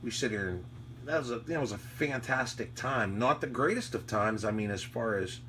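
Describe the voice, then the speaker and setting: A man's voice: mid-range voice, expressive, normal speed, sharp tone. An American man, an amateur radio operator, rambling casually and nostalgically in a reflective, conversational monologue. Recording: clearly noisy audio with hum.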